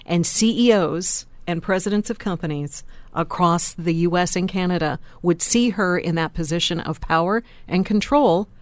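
A woman with a lower voice than average, speaking emphatically and articulately as a panelist on a radio talk show. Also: no echo. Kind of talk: opinionated panel discussion.

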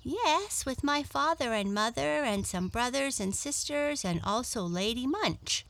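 A woman's voice: sits high, lively intonation, a little slow, vocal fry, a bit bright, voice pushed up, heavily acted. A woman voicing a friendly, innocent young rabbit in a children's storybook, chirpy, eager and talkative.

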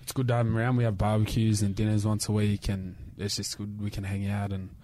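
A man's voice: on the low side, level tone, fast, mellow, dry recording. A man in his twenties with an Australian accent, a guest on a podcast, giving short, laid-back answers in casual chat. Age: 20s. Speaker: guest rugby league player.

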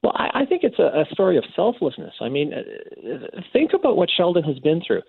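A Canadian man answering as a radio interview guest, speaking earnestly and haltingly, often repeating his words. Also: fairly high pitch, wildly swooping pitch, creaky, slightly rough, no echo, narrow, tinny audio. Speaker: interview guest, abuse survivor and advocate.

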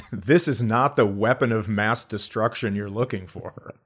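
A man's voice: fairly low pitch, unhurried, husky. A man in casual comedy podcast banter, wry and sarcastic, swearing freely and acting out quips.

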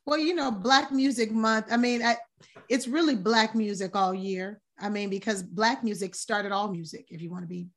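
A woman's voice: medium pitch, moderate pace. A woman on a casual panel talk show, speaking earnestly and thoughtfully.

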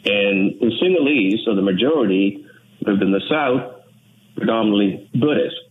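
A man from Sri Lanka, an English speaker, talking in an earnest, measured way as an interview guest. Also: on the low side, expressive. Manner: measured, earnest, with frequent restarts. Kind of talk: reflective interview talk. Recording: tinny phone line with faint noise.

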